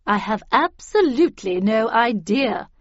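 A woman voicing an imperious, impatient older lady with a commanding, brisk and formally polite manner. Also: on the high side, expressive, no echo, highs cut off.

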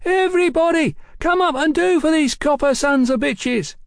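A vicious street thug from an audiobook. The voice is pitched far up into a shrill, squawking shout. He is coarse, panicky and goading. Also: moderate pace, gravelly, no echo, studio quality. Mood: screeching alarm. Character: shrieking masked street ruffian, vicious and panicky.

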